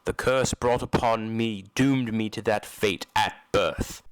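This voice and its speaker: A man playing a tormented, embittered masked opera recluse in an audio drama, telling his story in a slow, solemn, dramatic way. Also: lowish voice, clean tone, dry recording. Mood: anguished. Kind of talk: amateur audio drama acting.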